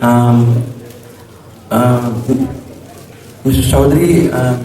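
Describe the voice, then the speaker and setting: A man in his forties from coastal Andhra Pradesh with an Indian English accent, slightly hoarse, giving a hesitant formal vote of thanks at an alumni reunion. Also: mid-range voice, mellow. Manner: hesitant, many ums and restarts. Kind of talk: formal public speech with pauses. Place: large hall. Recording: echoey hall mic from far off.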